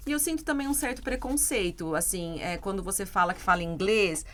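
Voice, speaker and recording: A woman's voice: medium pitch, fairly quick. A middle-aged Brazilian woman, an English teacher co-hosting a podcast, talking earnestly in casual chat and answering listener questions directly. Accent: Brazilian Portuguese.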